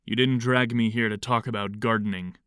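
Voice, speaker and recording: An actor in an audio drama playing a stern, impatient commune leader with a curt, no-nonsense manner. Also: male voice, medium pitch, fairly even intonation, a little fast, a bit bright, smooth, dry recording, clean studio sound, lightly acted. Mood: irritated.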